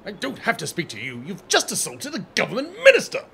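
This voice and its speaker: An actor playing a bigoted, haughty government minister in an audio drama, indignant, pompous and venomous. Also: male voice, sits high, huge pitch swings, fast talker, vocal fry, sharp tone, breathy edge, heavily acted. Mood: outraged. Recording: noisy, with background ambience.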